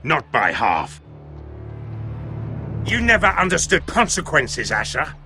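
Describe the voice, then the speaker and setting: Swaggering, menacing mercenary boss, wildly animated. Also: male voice, a little high, slow, faint music behind, exaggerated voice. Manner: mocking, relishing threats, heavy swearing. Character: menacing, theatrical, foul-mouthed one-eyed mercenary leader. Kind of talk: video game drama acting.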